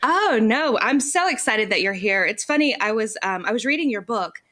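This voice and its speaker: A woman's voice: a little high, expressive, moderate pace, bright, forceful tone. A woman hosting a podcast, eager and gushing, with many filler words. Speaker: podcast host. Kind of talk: casual podcast interview.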